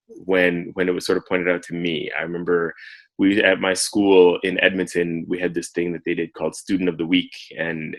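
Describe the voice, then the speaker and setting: A Canadian man in his thirties, a guest telling his story in a relaxed way, with many ums. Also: low voice, low-quality recording. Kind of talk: casual interview.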